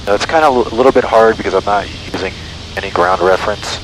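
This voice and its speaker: Male pilot, low register, casual self-narrating chat on headset in a noisy small plane cabin. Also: fast. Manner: talks self through tasks. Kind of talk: casual cockpit chat, narrating own flying. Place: small propeller plane cockpit in flight. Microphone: aviation headset. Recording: loud steady aircraft cabin noise.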